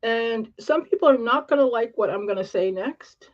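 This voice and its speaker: A woman's voice: on the high side, animated, moderate pace. Earnest Canadian woman, lo-fi stream. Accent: upper-class Canadian. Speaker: panelist. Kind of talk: casual live-stream panel chat.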